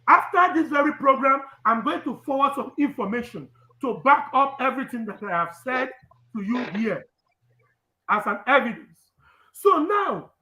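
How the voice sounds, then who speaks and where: A man's voice: very high-pitched, bright, forceful tone, echoey room, rough recording. A man with a Nigerian accent, a native Urhobo speaker, giving an impassioned live speech that repeats phrases for emphasis. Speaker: activist speaker.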